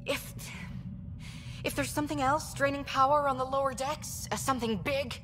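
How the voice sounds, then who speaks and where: An actress playing an earnest, devout alien starship engineer in a video game, speaking with urgent, slightly hesitant sincerity. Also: female voice, high voice, steady tone, normal speed, long reverb tail, background noise, natural voice. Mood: worried, focused.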